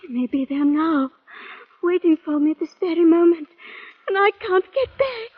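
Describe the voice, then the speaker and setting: A woman's voice: very high-pitched, animated, normal speed. An actress playing a dazed, distraught lost woman yearning for home, melodramatic, in a vintage radio drama with muffled sound. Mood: urgent longing. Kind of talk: 1940s radio drama acting. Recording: dull vintage radio recording.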